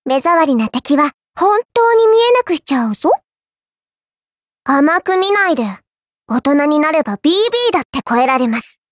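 A woman's voice: sits high, big pitch swings, moderate pace, dry recording, clean studio sound. A woman voicing a mischievous, teasing little girl villainess in a mobile game, coy and polite with playful menace. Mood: determined, confident. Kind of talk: Japanese mobile game character voice lines.

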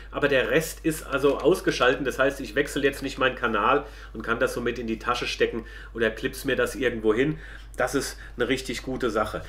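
Male product reviewer, mid-pitched voice, colloquial and chatty, walking viewers through a demonstration. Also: a bit bright.

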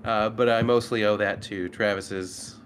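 A man's voice: lowish voice, fairly even intonation, a little slow, soft background music. A man chatting casually as a player in a tabletop game. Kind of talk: casual chat.

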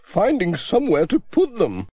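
A man voicing a brisk, bookish older village woman in a high, chirpy put-on voice. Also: wildly swooping pitch, dark tone, husky, no echo, heavily acted. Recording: dry close audiobook recording.

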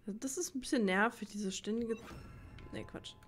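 A woman's voice: on the high side, moderate pace, faint music behind. A woman giving casual gameplay commentary, musing aloud half to herself. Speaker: let's play host.

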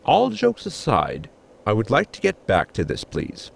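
Man acting a gravelly sci-fi inventor, swooping pitch, precise, exasperated, formal, audio sitcom. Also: huge pitch swings, a little slow, heavily acted. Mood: earnest. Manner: stiff, precise diction with few contractions. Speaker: actor in sci-fi comedy audio drama.

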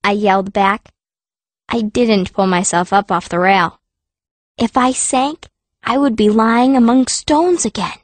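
A woman's voice: mid-range voice, unhurried, no room sound. A woman reading scripted first-person narration as a fierce young girl heroine of a fantasy audiobook. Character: fierce, stubborn girl stone mage telling her story.

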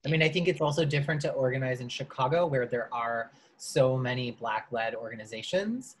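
A person from Wisconsin speaking loudly and enunciating every word clearly in an earnest workshop discussion on a video call. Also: mid-range voice, brisk, slight background noise. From Madison, Wisconsin, USA. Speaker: workshop participant.

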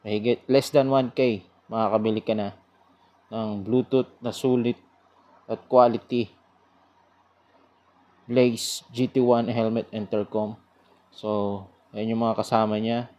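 Slow, low-voiced man. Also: flat delivery, lo-fi sound. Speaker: product reviewer. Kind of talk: casual product review vlog.

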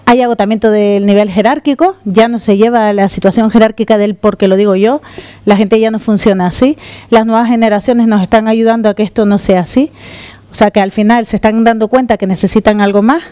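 Woman from the Canary Islands, conference talk, mellow voice, clear tone, dry handheld mic. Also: medium pitch, a little fast, no echo. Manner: lively, gesturing while walking on stage. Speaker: conference speaker. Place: conference room with audience. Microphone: handheld microphone.

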